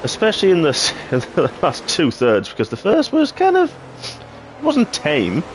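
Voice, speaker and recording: A man giving live play-by-play commentary on a sim racing league, hoarse and clearing his throat after losing his voice. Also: a little high, moderate pace, slight background noise. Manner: excitable play-by-play, rising sharply at incidents. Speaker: race commentator.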